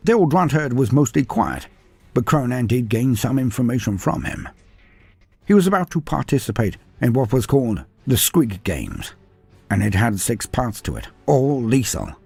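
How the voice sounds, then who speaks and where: A man narrating a scripted fantasy tale in an animated way, dropping in wry asides. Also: medium pitch, vocal fry, breathy edge, no echo. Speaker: storyteller.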